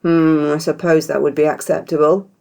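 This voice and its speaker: A woman's voice: low-pitched, level tone, moderate pace, creaky, darkish tone. A woman voicing a domineering, bad-tempered bearded man in a story, blustering, demanding and curt. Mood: demanding, pompous.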